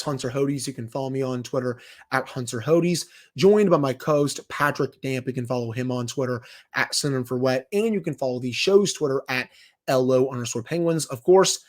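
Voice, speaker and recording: A man co-hosting a sports podcast, giving a news rundown in an emphatic, announcer-like delivery. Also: mid-range voice. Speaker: podcast co-host. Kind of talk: sports podcast commentary, scripted-sounding intro and news rundown.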